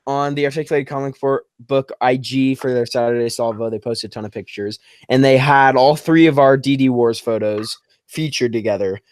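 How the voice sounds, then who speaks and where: A seventeen-year-old man on a casual podcast, mostly quiet, laughing and giving short replies. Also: mid-range voice, moderate pace, few pauses, dry recording. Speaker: podcast co-host. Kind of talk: casual chat.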